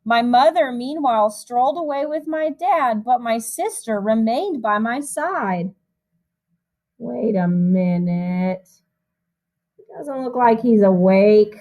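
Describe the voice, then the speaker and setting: A woman music teacher reading a picture book aloud to young children in an expressive, animated way, with chatty asides. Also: a little high, slow.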